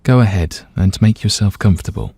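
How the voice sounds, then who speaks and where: A man gently and calmly leading a scripted guided meditation, letting his voice soften at the ends of phrases. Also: lowish voice, brisk, breathy edge, dry recording. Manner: gentle, calm, soothing, hushed at phrase ends.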